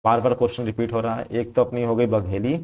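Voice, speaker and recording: A man's voice: mid-range voice, level tone, fast talker, a little mellow, lo-fi sound. A young man teaching exam preparation, going through practice questions in a conversational way that repeats key points and keeps checking in with the viewer.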